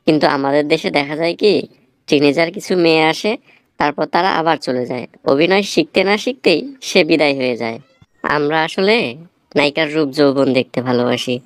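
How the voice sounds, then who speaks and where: Guy doing bangladeshi cartoon writer dude, blunt n sardonic, lectures, really clean smooth voice, dry. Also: a little high, a bit bright, no echo, lightly acted. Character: blunt, teasing male film writer giving advice. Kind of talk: comic cartoon dialogue.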